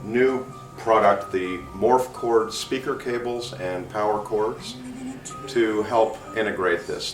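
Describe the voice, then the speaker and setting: Low-pitched male exhibitor, measured deliberate explanation, distant mic, background music. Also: echoey room. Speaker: audio company exhibitor. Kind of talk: unscripted product explanation. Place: large exhibition room.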